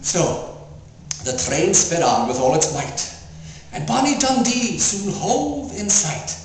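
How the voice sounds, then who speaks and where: Echoey, slow, grandiose man reciting verse. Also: high voice, lively intonation. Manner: grandiose, declamatory, mock-solemn. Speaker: actor performing poetry. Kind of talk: theatrical poetry recital. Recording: very echoey, distant mic, faint noise.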